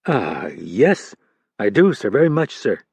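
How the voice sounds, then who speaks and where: Man doing a young fbi agent, jittery, lots of sir, husky voice. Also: fairly low pitch, very animated, unhurried, darkish tone, no room sound, dull audio, heavily acted. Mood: nervous, deferential. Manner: polite, hesitant. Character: young male FBI agent, nervous and deferential.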